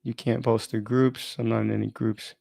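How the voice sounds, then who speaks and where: A man's voice: on the low side, flat delivery, normal speed, creaky, warm tone, dry recording. A man chatting informally and thinking aloud as he runs an unplanned live stream, often interrupting himself in a rambling way.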